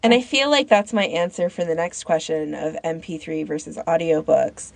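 A woman's voice: low-pitched, normal speed, vocal fry, no echo. A woman co-hosting a podcast, talking in a casual conversational discussion. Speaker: podcast host.

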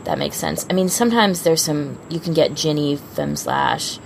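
Low, very creaky-voiced woman podcaster. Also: moderate pace, background noise. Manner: informal, rambling, self-interrupting. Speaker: podcast co-host. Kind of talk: casual fan podcast chat.

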